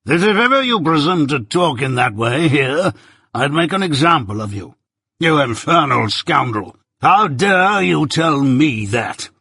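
A man's voice: on the high side, sing-song, slow, sharp tone, breathy edge, no echo, clean studio sound, pitched above the performer's own voice, full character voice. A man voicing a stern, domineering male lawyer with harsh, scornful, clipped commands. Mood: irate.

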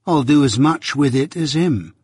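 Man acting a gruff, growling, quarrelsome journeyman blacksmith. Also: a little high, animated, moderate pace, darkish tone, no echo, clean studio sound, big-room sound, pitched above the performer's own voice. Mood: aggrieved. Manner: rustic dialect, grudging and argumentative.

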